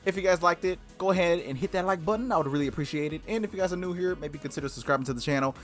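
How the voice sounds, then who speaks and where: A man's voice: a little high, very fast, faint music behind. A man hosting a gaming video, reading aloud and chatting casually in a playful, exclamatory way that often breaks off mid-thought. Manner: playful, self-interrupting, exclamatory. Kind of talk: casual let's play chat and reading aloud.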